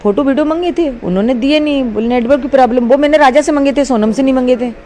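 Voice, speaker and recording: A woman's voice: high voice, fast talker, a little mellow. A middle-aged woman giving unscripted answers in an interview. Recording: dull, noisy on-location interview.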